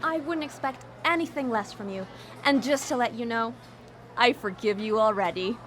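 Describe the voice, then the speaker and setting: Actress as gentle female conscience spirit, radio drama. Higher pitch, encouraging, slight background noise. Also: normal speed, lightly acted. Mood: calm, wry. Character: kindly female virtue spirit named Temperance, encouraging guardian of conscience.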